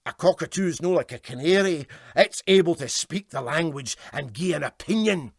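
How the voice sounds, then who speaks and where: Self-righteous Scottish engineer, acted by a man, emphatic, needling, raised pitch, lively comic storybook delivery. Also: normal speed, vocal fry, breathy edge, dry recording, studio quality, full character voice. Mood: scornful amazement. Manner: emphatic, scornful. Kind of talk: comic storybook character reading.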